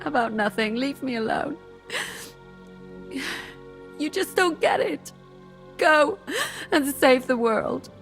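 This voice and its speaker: A woman's voice: high voice, animated, slow, pauses often, a bit bright, faint music behind, full character voice. A woman playing a bitter, emotionally wounded young medieval woman in a game, weary and full of feeling. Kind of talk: dramatic game cutscene acting.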